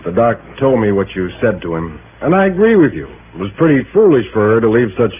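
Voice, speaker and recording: A man's voice: fairly low pitch, wildly swooping pitch, quick pace, breathy edge, heavily acted. An actor playing a confident small-town lawman in a 1950s radio drama, plain-spoken and insistent, with some hesitation. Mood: explaining firmly. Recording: narrow, noisy old radio broadcast.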